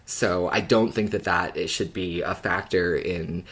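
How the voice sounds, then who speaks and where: A podcast co-host talking casually and in a measured way, open to other views. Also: deep voice, fairly even intonation, a bit bright, slightly rough. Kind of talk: casual discussion chat.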